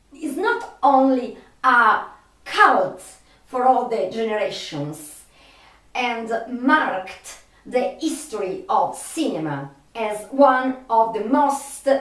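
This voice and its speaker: A woman who is not a native English speaker presents enthusiastic film commentary in a halting, emphatic way with long pauses. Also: high-pitched. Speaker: host of a film trivia video. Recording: echoey room, distant microphone.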